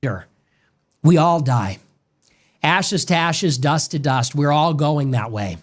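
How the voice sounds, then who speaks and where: A man's voice: fairly high pitch, lively intonation, takes it slowly. A man giving a grave, preacher-like monologue on death and faith, played back from an original stream. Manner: grave, preacherly cadence with long lists. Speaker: political commentator.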